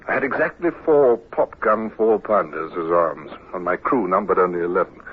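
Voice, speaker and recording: A man's voice: sits low, natural voice. An actor playing an earnest young British naval captain who tells his tale in a radio drama, a little hesitant. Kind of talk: first-person radio drama narration. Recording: noisy, tinny old radio recording.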